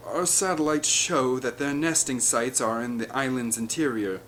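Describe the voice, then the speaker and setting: A man voicing a grave, knowing expedition leader in an audiobook, with a measured, ominous delivery. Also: mid-range voice, fairly bright tone, slight background noise, close to an everyday voice.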